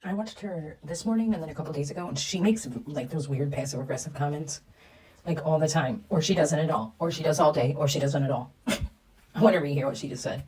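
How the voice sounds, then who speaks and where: A woman's voice: low voice, brisk, darkish tone, breathy edge, rough recording. A woman narrating a commentary video over clips, gossipy and wry.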